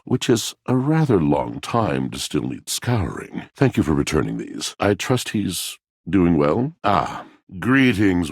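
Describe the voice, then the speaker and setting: An actor playing a brooding, lovelorn, poetic washing machine in a video game, speaking in florid, grandiose, theatrical phrases with a gravelly, husky voice. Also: male voice, lowish voice, darkish tone, no echo, clean studio sound, heavily acted.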